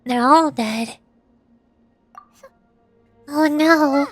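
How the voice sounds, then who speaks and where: A playful, expressive woman, commentating on a video game livestream as a vtuber. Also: high voice, drawn-out words. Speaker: vtuber streamer. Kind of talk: game livestream commentary, reading game text aloud. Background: game music.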